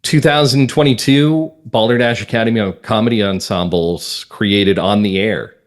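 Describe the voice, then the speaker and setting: A man's voice: lowish voice, normal speed. A man chatting casually as an interview guest, telling anecdotes about his creative work with enthusiasm. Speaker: podcast guest, audio drama writer and producer.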